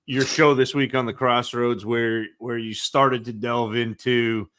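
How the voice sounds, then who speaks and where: A man's voice: mid-range voice, flat delivery, unhurried, fairly bright tone. A man co-hosting a podcast in casual chat, friendly and often repeating words as he talks. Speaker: podcast co-host.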